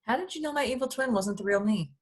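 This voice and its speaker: A woman's voice: fairly high pitch, lively intonation, quick pace, husky. A woman playing a cool, wry alien queen in a comedy table read. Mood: grateful.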